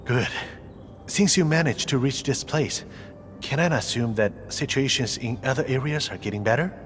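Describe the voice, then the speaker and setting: An actor playing a weary, dry, self-deprecating field surgeon in a video game. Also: male voice, mid-range voice, normal speed, natural voice. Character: weary, frank male field surgeon in charge. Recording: clear music behind the voice.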